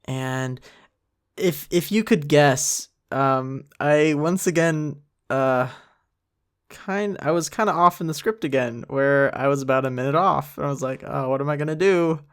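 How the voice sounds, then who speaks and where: A man's voice: on the high side, measured pace, clean tone. Man giving rambling, casual commentary. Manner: rambling, self-deprecating. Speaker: series creator.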